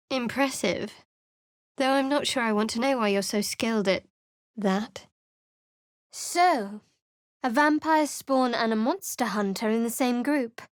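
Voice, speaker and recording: Game companion: a wary, dry-witted dark cleric, fairly high woman's voice, close and dry studio sound. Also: dry recording. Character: guarded, sardonic young woman cleric of a dark goddess. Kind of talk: video game voice lines.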